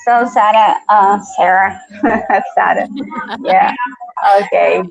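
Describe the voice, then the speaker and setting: A woman's voice: medium pitch, takes it slowly, gravelly, faint music behind. A Brazilian woman with a Portuguese accent, an English teacher chatting on a video call, hesitant and often repeating words. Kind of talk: casual video-call conversation. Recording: dull lo-fi video call audio.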